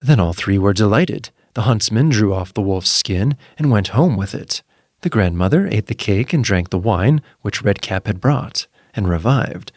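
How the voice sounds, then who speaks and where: Low-voiced, expressive male storyteller. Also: normal speed, no room sound, studio quality. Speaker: narrator. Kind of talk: scripted fairy-tale narration.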